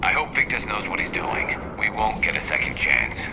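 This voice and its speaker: A gravelly gruff soldier. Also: male voice, on the low side, very animated, moderate pace, very noisy. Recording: loud gunfire and engines behind.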